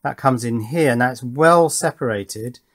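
A man's voice: mid-range voice, unhurried, a bit bright, dry recording, studio quality. A middle-aged man giving casual, matter-of-fact hands-on narration as an electronics hobbyist, musing aloud as he goes. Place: indoor home workbench.

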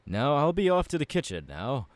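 A man's voice: on the high side, very animated, moderate pace, dry recording. A man playing a passionate, courteous young warrior mentor in an ASMR audio roleplay, speaking in an earnest, formal and encouraging way.

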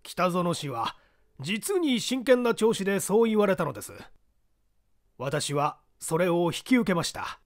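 A man's voice: sits high, big pitch swings, measured pace, pitched above the performer's own voice, full character voice. A man voicing an earnest, formal male letter writer in a mystery audiobook, polite, solemn and emphatic.

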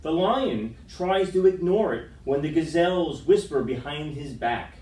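A middle-aged man reads a picture book to children in a fairly high, very lively voice. His storytelling is dramatic and expressive. The sound is roomy, picked up from a distant camera microphone. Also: a little slow. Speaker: storytime reader. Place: school room with wood-panelled walls, indoors. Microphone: far camera microphone.

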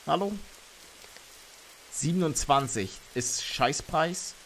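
A young man streaming a game live, chatting with his viewers and reacting loudly, close to a studio mic at home. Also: fairly high pitch, slow, creaky, rough recording. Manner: chatty, reads chat aloud, bursts into excited shouts. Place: home streaming room, indoors. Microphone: studio mic on arm near face.

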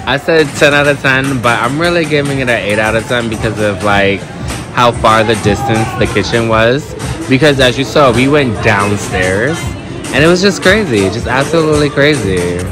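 Campy sassy guy from new york doing vlog narration, music loud behind him. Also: mid-range voice, moderate pace. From New York, United States. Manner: sassy, campy, emphatic, repeats words for effect. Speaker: vlogger. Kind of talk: casual vlog voice-over narration.

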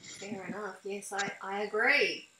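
A woman's voice: mid-range voice, steady tone, unhurried, very noisy. A middle-aged woman interviewing someone over a video call, asking conversational questions in a hesitant, thoughtful way. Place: small indoor room. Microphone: video call webcam setup. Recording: roomy, echoey video call audio.

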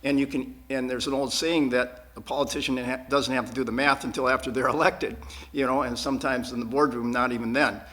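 A man's voice: mid-range voice, fairly even intonation, a little fast, a bit bright. A man speaking as a school board candidate at a forum, earnest and emphatic, repeating words to drive points home. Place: public access TV studio.

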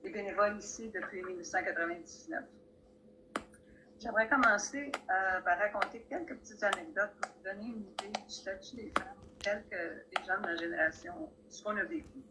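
A woman's voice: medium pitch, moderate pace, background noise. An older woman from Montreal, sharing wry personal anecdotes as a guest speaker on a video call from a library room. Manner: plainspoken storyteller, wry asides. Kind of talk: personal anecdotes at a community talk. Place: library room with bookshelves, indoors. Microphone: video call, laptop camera.

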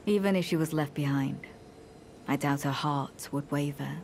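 An actress playing a solemn, devout female knight in a video game, with measured, formal, archaic phrasing and a courteous but coldly zealous manner. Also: low voice, darkish tone, a little hiss or hum. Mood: pensive. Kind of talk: scripted video game dialogue.

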